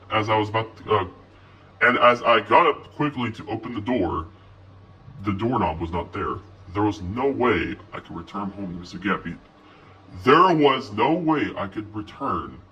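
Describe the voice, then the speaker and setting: A man telling a scripted horror story in an expressive, first-person narration. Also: fairly low pitch. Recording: voice distant from mic.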